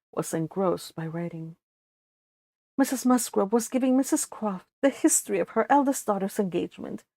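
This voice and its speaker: A woman's voice: on the high side, darkish tone, no room sound. Chatty woman hosting a read-along, dry sound. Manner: chatty, informal asides while reading. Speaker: host of a book read-along. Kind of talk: casual livestream chat and expressive audiobook-style reading aloud.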